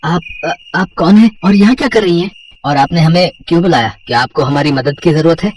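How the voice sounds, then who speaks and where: A man's voice: fairly high pitch, fairly quick, darkish tone, a little hiss or hum, lightly acted. A man playing an earnest, easily agitated young student, urgent and pleading, in a horror audio story. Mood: insistent.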